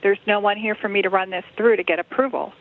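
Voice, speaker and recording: A woman's voice: medium pitch, steady tone, fast talker. A woman who works in church faith formation, answering a phone call in a hesitant, polite and cautious way. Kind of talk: phone conversation. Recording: tinny phone line.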